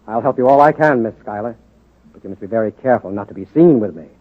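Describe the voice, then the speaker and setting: A man's voice: lowish voice, quick pace, natural voice. Tinny old-radio doctor played by a man. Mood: urgent, confiding. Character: earnest hospital doctor, quietly conspiratorial reformer ally. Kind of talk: 1940s radio acting.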